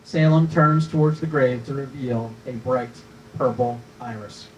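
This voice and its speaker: A man's voice: mid-range voice, unhurried, darkish tone, dull audio. A man reading stage directions as scripted narration at a screenplay table read. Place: room at a live table read. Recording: distant mic, faint room noise.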